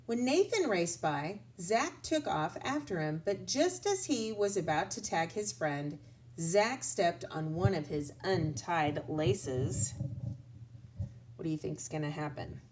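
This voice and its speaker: Woman, children's read-aloud, teacherly, slow pace, expressive, deliberate, faint background noise. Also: on the low side, rough recording. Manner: expressive, deliberate, pausing to address child listeners.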